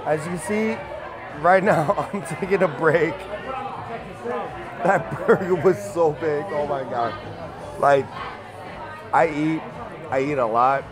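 A man's voice: on the high side, takes it slowly, pauses often. A man in his thirties reviewing a burger in a casual vlog, chatty and exclaiming, laughing often, filmed on a phone with an earbud mic. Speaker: food vlogger. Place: busy bar and restaurant, indoors. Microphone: earbud mic, phone held close. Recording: noisy crowded bar with music. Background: loud music and crowd chatter.

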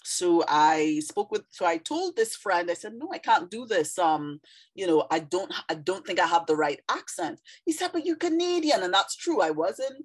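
A woman's voice: lowish voice, big pitch swings, normal speed. A woman in her thirties from Trinidad and Tobago with a Trinidadian accent, talking casually and reflectively about teaching English, with frequent fillers. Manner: reflective, conversational with many fillers. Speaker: vlogger, former ESL teacher. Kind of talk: casual monologue to camera.

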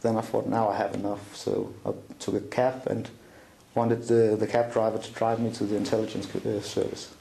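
Gravelly Danish-accented man, halting. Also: lowish voice, little pitch movement, warm tone, roomy sound, slight background noise, poor audio. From Denmark. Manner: halting, many fillers and restarts. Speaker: interview guest. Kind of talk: recounting a personal story in an interview. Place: small room indoors, seated at a table.

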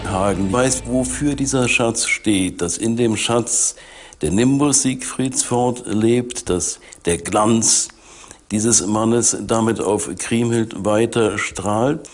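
Male expert interviewee, sixties, lowish pitch, deliberate and emphatic explanatory delivery. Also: soft background music. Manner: deliberate, emphatic repetition in long phrases. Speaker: forensic psychiatrist, expert interviewee. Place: dim indoor interview set, seated.

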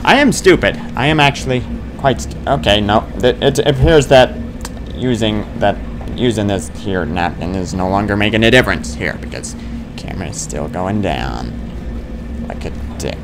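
A man's voice: fairly low pitch, expressive, a little slow, long pauses, background noise. A man in his twenties giving casual gameplay commentary, muttering to himself and exclaiming in bursts. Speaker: let's play host. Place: small dim room at home.

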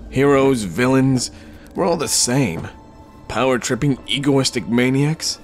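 Man playing a slow-talking, cocky flirtatious supervillain. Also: mid-range voice, soft background music, natural voice. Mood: cocky, playful. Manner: teasing, smug, chuckling banter. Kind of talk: ASMR roleplay acting.

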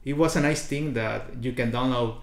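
A man's voice: medium pitch, normal speed. A young man from Honduras with a Honduran Spanish accent, a native Spanish speaker, answering in a casual interview with fillers and restarts. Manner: animated storyteller with frequent restarts and fillers. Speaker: international student guest. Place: small colorful lounge indoors. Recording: echoey room.

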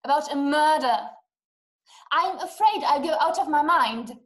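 A noticeably high voice with room echo from a video call. It is a young woman acting a fretful, imperious invalid wife in a play, full of complaint and self-pity. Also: lively intonation, measured pace. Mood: demanding, upset. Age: young adult. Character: anxious, demanding, self-pitying invalid wife. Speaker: actress. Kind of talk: stage acting in a radio-play adaptation. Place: small room indoors. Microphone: webcam video call. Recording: roomy laptop video-call sound.

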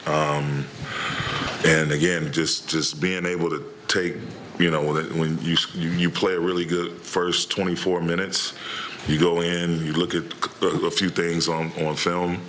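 A middle-aged man with a very low, flat voice answers reporters after a game, starting and restarting his sentences as he searches for words. He speaks into a table microphone in a press room, with a faint hiss of ambience behind him. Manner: halting, many restarts and fillers. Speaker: head coach. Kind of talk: postgame press conference answers. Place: press room in front of a logo backdrop.

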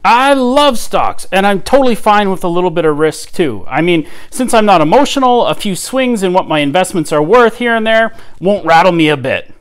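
A man doing a cocky loud stock investor. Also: high voice, animated, normal speed, hardly any pauses, smooth. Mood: boastful.

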